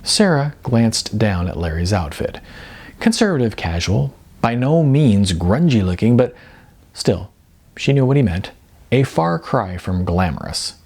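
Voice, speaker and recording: A man's voice: on the low side, sing-song, unhurried, a little mellow, breathy edge. A man narrating as an author, reading from his own fiction. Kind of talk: scripted audiobook-style narration.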